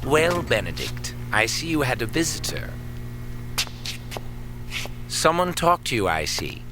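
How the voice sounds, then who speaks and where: A man's voice: medium pitch, big pitch swings, measured pace, music in the background. An actor playing a sneering, cynical male villain in an audio drama, with a mocking, condescending, theatrical manner. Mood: menacing.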